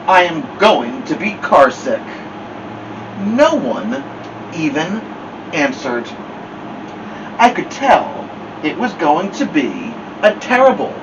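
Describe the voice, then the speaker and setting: A man's voice: fairly high pitch, recorded from a distance, background noise. A man voicing a grumpy, put-upon young boy in a picture book, exasperated and stretching words for emphasis. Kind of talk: picture-book read-aloud.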